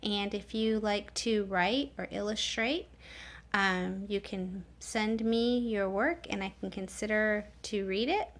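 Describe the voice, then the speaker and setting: A woman's voice: medium pitch, takes it slowly. A woman who reads children's stories as a teacher, in an instructive way with casual explanatory asides. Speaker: children's story reader and teacher. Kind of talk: read-aloud storytime with casual asides.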